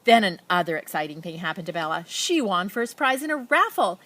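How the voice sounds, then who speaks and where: A woman reads a children's picture book aloud expressively, with dramatic emphasis and pauses and casual asides to her listeners. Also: fairly low pitch, big pitch swings, fairly bright tone, dry recording. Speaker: storybook reader.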